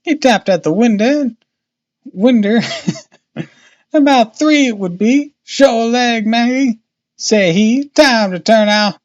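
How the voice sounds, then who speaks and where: A man's voice: very high voice, slow pace, long pauses, dull audio, pitched above the performer's own voice. A man voicing a harried, talkative working-class Victorian woman from a storybook, anxious and exclamatory in manner. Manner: chattering, exclamatory, colloquial.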